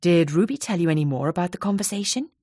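A woman's voice: fairly low pitch, expressive, quick pace, darkish tone, slightly rough, no echo, studio quality. A woman voicing a determined young woman who questions people tactfully and earnestly. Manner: earnest, courteous, probing.

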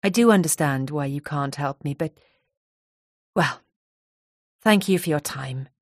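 A woman's voice: deep voice, unhurried, no echo, studio quality. A woman voicing a determined young woman who questions people tactfully and earnestly. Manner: earnest, courteous, probing.

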